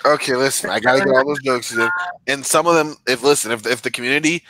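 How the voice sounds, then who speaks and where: A man's voice: mid-range voice, quick pace, pushed and bright, dry recording, poor audio. A man co-hosting a podcast with a case of the sniffles, talking in defensive, exasperated banter. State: stuffy with sniffles. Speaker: podcast co-host. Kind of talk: casual banter. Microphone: headset.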